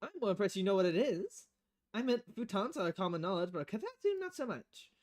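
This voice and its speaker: Woman putting on a high, chatty bar boss. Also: sing-song, a little fast, darkish tone, natural voice. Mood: impressed, eager. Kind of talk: visual novel dialogue read aloud.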